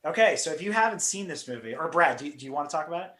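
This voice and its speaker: A podcast host chatting about cars in a slightly raised voice, lively and quick to laugh. His voice rings with a roomy echo. He tells stories with plenty of enthusiasm. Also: on the high side. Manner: animated storyteller, laughs often. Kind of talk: casual chat.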